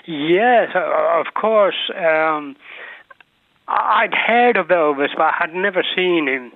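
A man's voice: fairly high pitch, lively intonation, slow, creaky, no echo. A man in his seventies from Ireland with a Mancunian accent, a caller chatting fondly and rambling with many fillers. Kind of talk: casual chat. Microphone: telephone. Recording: dry, tinny phone line.

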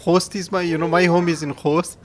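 A man from Afghanistan answering interview questions in an earnest, measured way. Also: fairly high pitch, little pitch movement.

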